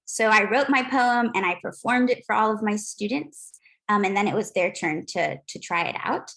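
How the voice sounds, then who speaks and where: A woman's voice: mid-range voice, moderate pace, vocal fry, fairly bright tone, rough recording. A woman teacher giving a prepared talk about her class, earnest and sprinkled with ums.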